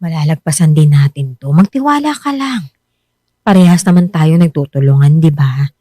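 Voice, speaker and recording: Mellow, devoted Filipino wife. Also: female voice, sits low, expressive, moderate pace, dry recording, studio quality. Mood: firm, loving. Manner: gentle, earnest, reassuring. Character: devoted, reassuring wife of a poor tricycle driver. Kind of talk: Filipino radio drama acting.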